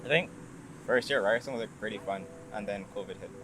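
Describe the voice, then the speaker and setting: A man's voice: on the low side, fast, noisy background. A young man from Barbados, a student interview guest, chatting casually and loosely with lots of hedging. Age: young adult. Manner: informal, hedging, peppered with "like". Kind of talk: casual chat.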